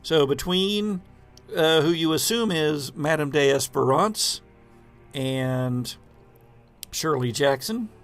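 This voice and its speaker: A man narrating an improvised scene as game master of a tabletop horror game, with halting, deliberate descriptive phrasing. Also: a little high, fairly bright tone, soft background music.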